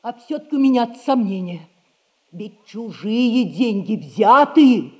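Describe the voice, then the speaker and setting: A woman's voice: fairly high pitch, expressive, takes it slowly, mellow. A fretful indebted mother. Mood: worried. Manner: pleading, fretful. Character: anxious widowed mother in debt. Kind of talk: 1960s stage radio play acting. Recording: long stage reverb, 1960s radio play.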